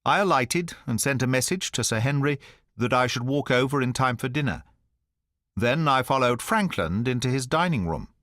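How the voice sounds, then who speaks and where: A man narrating an audiobook in the first person, at a measured, even storytelling pace. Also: medium pitch, dry recording, studio quality.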